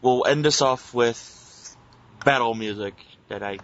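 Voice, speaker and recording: A man's voice: mid-range voice, takes it slowly, a bit bright, dull audio. A man co-hosting a podcast with casual, sweary banter. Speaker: podcast co-host.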